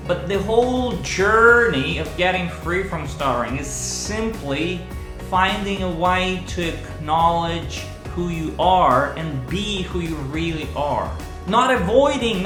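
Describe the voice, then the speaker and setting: A man hosting a vlog as a speech coach, talking in a slow, deliberate and earnest way to his viewers. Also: high voice. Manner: slow, deliberate, earnest delivery with measured pauses. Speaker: speech coach and channel host. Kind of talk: direct-to-camera vlog talk. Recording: roomy echo with faint music underneath.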